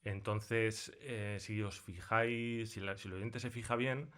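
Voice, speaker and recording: A man's voice: lowish voice, steady tone, measured pace, a bit bright. A man with an accent from Spain, speaking conversationally in an interview as a sound designer, in colloquial language. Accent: Spanish from Spain. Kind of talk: conversational interview, explaining his craft. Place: recording studio.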